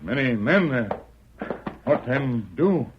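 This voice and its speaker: An actor playing a loyal sidekick in a 1940s radio western, speaking clipped, broken English. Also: male voice, medium pitch, animated, takes it slowly, background noise. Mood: grave, concerned. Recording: muffled old radio recording.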